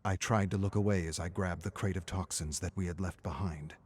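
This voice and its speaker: A man voicing a weary survivor scientist recording game audio logs, measured and grave, his phrases trailing off into a hush. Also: low-pitched, steady tone, slight background noise, natural voice. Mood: shaken, grim. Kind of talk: scripted dramatic audio log narration.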